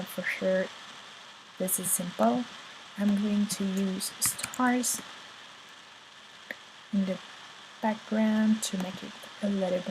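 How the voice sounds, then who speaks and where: Woman tutorial host, unhurried and relaxed, steady hiss behind her. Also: mid-range voice. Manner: relaxed, talks while working, many ums. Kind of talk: screen-recorded tutorial walkthrough.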